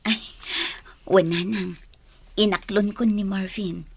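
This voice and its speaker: An actress with an Ilocano accent playing a gentle young single mother in love in a radio drama, soft and emotive. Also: mid-range voice, steady tone, takes it slowly, gravelly, a little hiss or hum. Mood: shy, warm.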